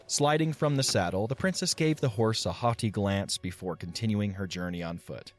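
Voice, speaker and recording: A man narrating an audio drama in a measured, expressive storybook style. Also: lowish voice, faint music behind.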